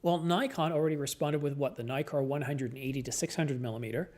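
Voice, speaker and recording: A man's voice: a little high, fairly even intonation, fast, darkish tone. A tired man hosting a late-night live stream, chatting casually and answering viewer comments as his voice starts to go.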